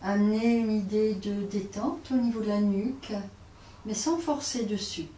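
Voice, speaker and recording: A woman teaching yoga nidra, guiding relaxation in a hesitant way, often pausing and repeating phrases. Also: medium pitch, warm tone. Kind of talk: guided relaxation instruction. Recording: roomy, distant mic, faint noise.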